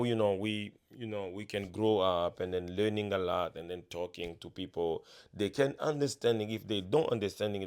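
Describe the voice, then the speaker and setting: A man speaking English as a second language, giving an earnest, halting motivational talk full of restarts. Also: fairly low pitch. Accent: non-native English. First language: not English. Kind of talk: casual motivational monologue.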